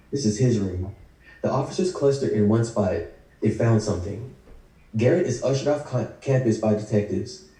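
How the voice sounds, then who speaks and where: A man's voice: medium pitch, warm tone. A man reading screenplay action lines as the narrator of a table read. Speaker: script narrator. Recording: very echoey room, distant mic.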